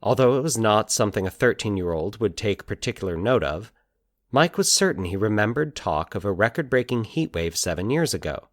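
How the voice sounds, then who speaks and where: A man narrating scripted eerie fiction in a measured, deliberate, formal storytelling manner. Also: lowish voice, lively intonation, no echo, clean studio sound.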